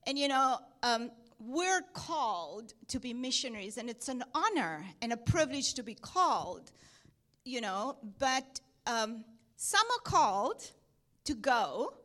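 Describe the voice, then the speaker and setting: A woman's voice: fairly high pitch, sing-song, measured pace. A woman from South Africa with a South African accent touched by Texas, speaking earnestly at church as a missionary guest, with frequent ums. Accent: South African, with some Texan. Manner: earnest, with soft laughs and many ums. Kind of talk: church testimony and exhortation.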